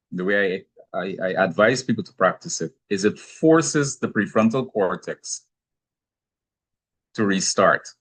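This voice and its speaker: Husky low-voiced Guyanese man teaching. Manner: measured, explanatory, gently humorous. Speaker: speaker on anger management. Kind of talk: teaching talk.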